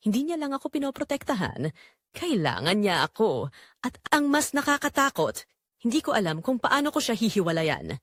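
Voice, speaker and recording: A woman's voice: medium pitch, no echo, clean studio sound. A woman reading a first-person dramatic story in an emotional, confessional manner. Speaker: audio drama narrator.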